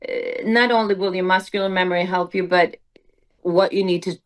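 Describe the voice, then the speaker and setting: A Swedish woman, a musical theater performer, speaking English as a second language in a relaxed interview, thoughtful and full of fillers. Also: fairly low pitch, a little fast. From Sweden. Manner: reflective, hesitant with many fillers. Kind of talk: casual interview conversation.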